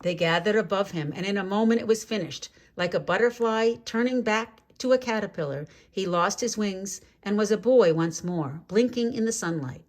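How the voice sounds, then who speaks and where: A woman reading a children's story aloud in an expressive, measured way, drawing out key words for drama. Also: mid-range voice. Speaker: storybook reader.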